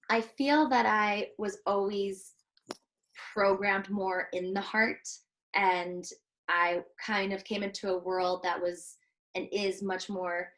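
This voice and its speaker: A woman from Switzerland, a heart coach hosting a casual interview, speaking earnestly and reflectively with many fillers. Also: mid-range voice. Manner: earnest, reflective, soft-spoken with frequent fillers.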